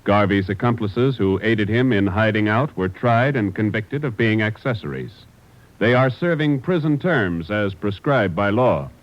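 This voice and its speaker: Casting: male American announcer, deepish voice, formal 1950s radio delivery, muffled archive sound. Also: lively intonation, moderate pace. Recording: dull vintage radio recording, music behind.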